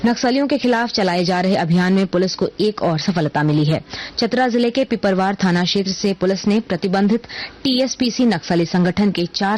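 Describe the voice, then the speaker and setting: A woman reading a scripted radio news bulletin in a formal, measured announcer's manner. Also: fairly low pitch, darkish tone.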